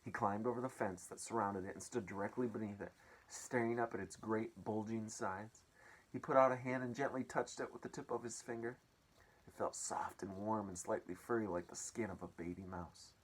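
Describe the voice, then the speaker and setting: A man reading a children's story aloud as an expressive storyteller. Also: lowish voice, normal speed, breathy edge, rough recording.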